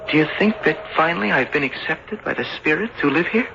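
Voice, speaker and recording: A man's voice: a little high, animated, a little fast, gravelly, pushed and bright, heavily acted. An actor playing an intense young man who believes in spirits, speaking with earnest, eerie conviction in a radio drama. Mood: earnest wonder. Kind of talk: old-time radio drama acting. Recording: muffled, very noisy old radio recording.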